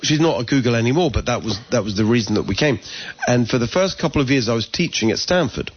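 A man's voice: medium pitch, lively intonation, muffled recording, a little hiss or hum. A middle-aged man with an English accent, speaking earnestly in an interview and often restarting his sentences. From England. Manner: earnest, talks in quick restarts and self-corrections. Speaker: political candidate guest. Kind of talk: conversational interview.

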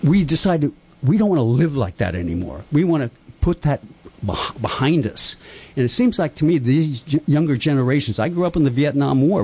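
Husky older man, impassioned and rambling. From Japan. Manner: earnest, rambling, lively pitch swings. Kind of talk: impassioned opinion talk. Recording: dull lo-fi sound.

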